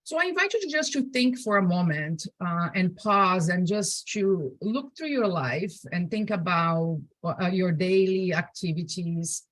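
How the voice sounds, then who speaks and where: A woman with a Brazilian accent and a fairly low voice presents informally over a video call. The sound is dry and close. She talks conversationally, dropping in "you know" and posing questions as she goes. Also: expressive, a little slow, hardly any pauses, fairly bright tone, no echo. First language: Portuguese. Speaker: presenter, digital accessibility specialist.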